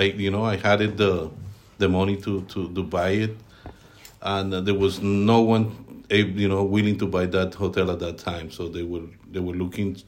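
A middle-aged Colombian man with a Colombian Spanish accent and a very low voice, answering podcast questions. He talks haltingly, filling gaps with "uh" and restarting his sentences. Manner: halting, many fillers and restarts. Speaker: guest, team leader. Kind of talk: podcast interview.

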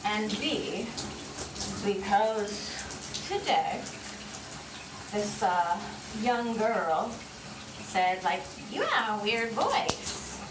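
A woman's voice: mid-range voice, sing-song, very slow, long pauses. A woman in her thirties casually rambling to her listeners in a wry, self-deprecating, hesitant way, swearing freely. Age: 30s. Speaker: vlogger. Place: in a bathroom shower. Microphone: phone at some distance. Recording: roomy, distant mic, running shower water noise. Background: running shower water.

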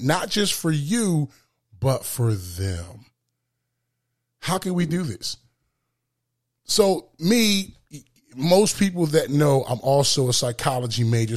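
A man hosting a solo motivational podcast with a booming voice, speaking emphatically and repeating phrases for effect. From lives in Florida. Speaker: podcast host.

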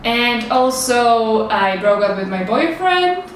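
A young Czech woman chats slowly to her camera, full of fillers and little laughs. She sounds set back from the mic in a bare, strongly echoing room. Faint traffic hums from outside. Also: a little high, big pitch swings. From Czech Republic. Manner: chatty, rambling, laughs often, fillers like "like". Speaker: vlogger. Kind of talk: casual monologue to camera. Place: small studio with street traffic outside. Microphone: camera's built-in mic, no external microphone. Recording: roomy, distant camera mic with faint street noise.

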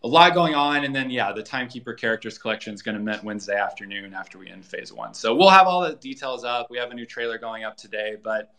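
Bright-voiced man explaining casually. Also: mid-range voice, expressive, fast, few pauses. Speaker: guest, co-creator of an audio drama. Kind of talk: casual promotional interview talk.

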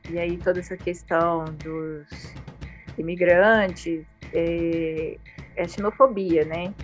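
A woman's voice: on the low side, steady tone, slow, soft background music. A woman with a Brazilian Portuguese accent, hosting a conversational interview in an informal, reflective manner. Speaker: interviewer, host.

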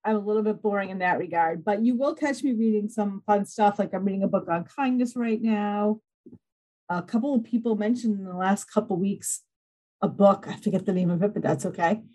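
A woman's voice: mid-range voice, a little fast, dark tone, poor audio. A woman hosting a podcast, chatting in a bubbly, self-deprecating way and laughing often. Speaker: podcast host. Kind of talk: casual interview chat.

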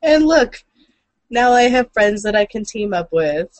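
A woman's voice: medium pitch, very animated, a little slow, dry recording. A woman co-hosting a podcast with casual, informal banter. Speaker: podcast co-host.

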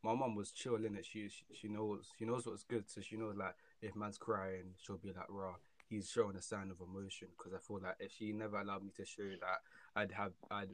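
A man's voice: lowish voice, flat delivery, a little fast. An eighteen-year-old man with a London accent, chatting casually in a slangy, laid-back way as a guest. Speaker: podcast guest, student. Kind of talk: casual chat.

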